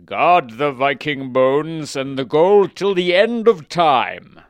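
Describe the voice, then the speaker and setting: Fairy-tale wizard male narrator acting, commanding, chant-like, raised pitch, very slow and forceful. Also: expressive, no echo. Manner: slow, loud, incantatory. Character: commanding, theatrical storybook wizard casting spells.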